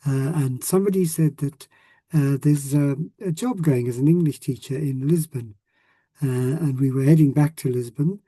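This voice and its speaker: A man in his sixties with a South African accent, a retired language teacher talking casually to former students, often pausing with ums and restarting sentences. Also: mid-range voice, mellow, husky, no echo. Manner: hesitant, many ums and restarts.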